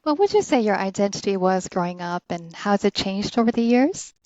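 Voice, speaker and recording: A woman's voice: mid-range voice, animated, fairly quick, no room sound. A woman hosting a podcast, introducing her guest and asking questions in a polite, encouraging way. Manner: polite, encouraging, frequent soft backchannels. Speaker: podcast host, interviewer. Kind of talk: casual interview hosting. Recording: dry, dull lo-fi sound.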